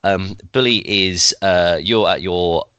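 Chatty man with a cold, deep voice. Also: takes it slowly, a bit bright, dry recording. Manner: chatty, laughs often, many ums. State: has a cold, slightly nasal. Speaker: podcast host. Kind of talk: casual podcast chat.

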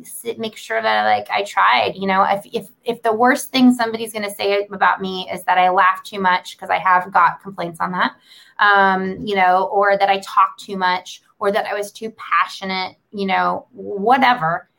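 A woman hosting a talk show, chatting casually and motivationally in an animated, rambling, earnest way. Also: medium pitch, normal speed, vocal fry, poor audio.

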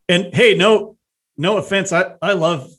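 A man's voice: sits high, measured pace, a bit bright. An American man hosting a casual interview in an informal, chatty way, often thinking aloud. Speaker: interviewer, host.

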